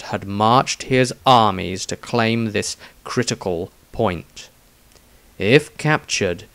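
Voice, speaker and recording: Man solemnly narrating a fantasy tale. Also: mid-range voice. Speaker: author narrating own story. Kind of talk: scripted dramatic fantasy narration.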